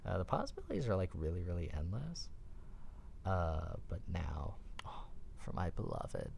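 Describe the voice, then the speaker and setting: A man speaking softly in a casual, rambling, enthusiastic ASMR style, slangy and sweary, sometimes dipping near a whisper. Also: low-pitched, wildly swooping pitch, normal speed, a little hiss or hum. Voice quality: soft, breathy delivery dipping near whisper. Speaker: ASMR roleplay host. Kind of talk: soft-spoken ASMR roleplay, casual explaining.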